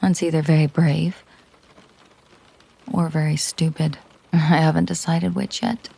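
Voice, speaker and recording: Casting: actress as possessive mafia boss girlfriend for intimate audio roleplay; dark, unhurried, hushed voice that drops into whispers, controlled and coolly tender. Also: sits low, level tone, exaggerated voice. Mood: cool, probing. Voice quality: soft, breathy, often slipping into whisper. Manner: slow, deliberate, coolly possessive. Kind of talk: intimate roleplay audio drama, soft slow delivery.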